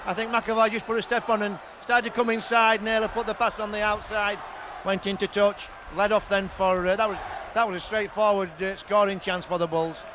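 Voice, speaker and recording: A man breaking down rugby league plays as the co-commentator, with a very high voice. A loud crowd and clear hiss fill the archive broadcast around him. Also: little pitch movement, fairly quick. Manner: explains plays in detail. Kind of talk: analytical rugby match commentary.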